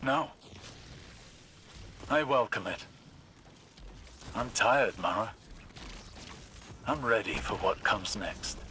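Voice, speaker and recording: A man's voice: lowish voice, animated, slow pace, long pauses, bright, forceful tone, a little hiss or hum, full character voice. A man playing a stoic, weary older scholar-warrior in a video game, resolute and grave in his delivery. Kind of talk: dramatic game dialogue.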